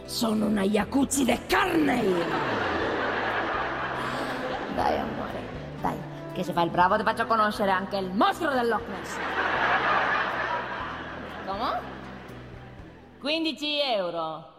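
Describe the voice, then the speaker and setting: An actress playing a brazen, sharp-tongued transgender street prostitute who cajoles and mocks in a theatrical radio monologue. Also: female voice, medium pitch, wildly swooping pitch, slow, long pauses. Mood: coaxing. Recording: reverberant theatre hall, music behind.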